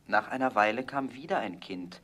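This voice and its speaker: A man narrating a scripted fairy tale as a storyteller, with measured, expressive storybook phrasing. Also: fairly low pitch.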